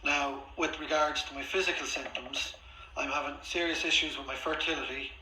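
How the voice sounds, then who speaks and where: A man's voice: a little high, steady tone, moderate pace, pushed and bright. A man arguing his case in a phone conversation as a patient, insistent, repetitive and complaining. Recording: echoey, faintly noisy room, recorded phone call.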